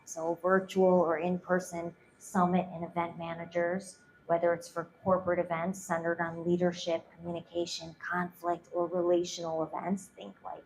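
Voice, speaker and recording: A soft-spoken woman with a fairly low voice presents her coaching work in a calm, measured way. A faint hiss sits under her voice. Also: low-quality recording. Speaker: presenting founder. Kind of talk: pitch presentation and Q&A.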